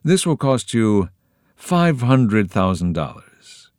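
A man's voice: lowish voice, slow pace, dry recording, studio quality, voice pushed up. A man doing a swooping, greedy eccentric hermit. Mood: possessive. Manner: sing-song, swooping excitedly.

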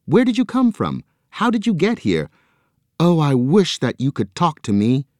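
Man doing an eager young guy, excited, high, lively, dry sound. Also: moderate pace, no room sound, natural voice.

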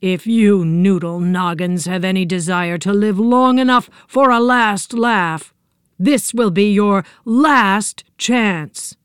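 A woman voicing a crowd of sneering, joking egg-yolk invaders, slow and gloating. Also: medium pitch, smooth, no echo, studio quality, close to an everyday voice. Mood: threatening. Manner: gleeful, mocking, drawn-out.

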